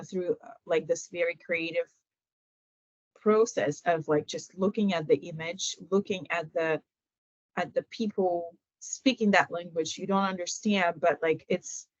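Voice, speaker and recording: A woman's voice: lowish voice, dry recording. Hesitant Ukrainian-born woman on a video call. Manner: hesitant, many fillers and restarts. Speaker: guest. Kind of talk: casual interview chat. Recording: dull lo-fi video call audio.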